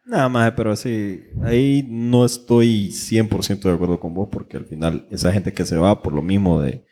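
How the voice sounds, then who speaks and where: A man's voice: fairly low pitch, steady tone, normal speed, warm tone. A man with a Honduran accent co-hosting a podcast in casual, slangy talk full of swearing. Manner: slangy, profane. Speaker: podcast co-host. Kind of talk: casual chat.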